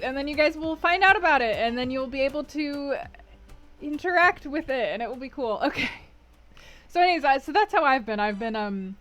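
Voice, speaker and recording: Chatty streamer woman, higher voice. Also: fairly bright tone. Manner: chatty and rambling, laughs often, with frequent fillers. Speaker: streamer hosting a read-aloud book club. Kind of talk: casual chat with live chat before a stream reading.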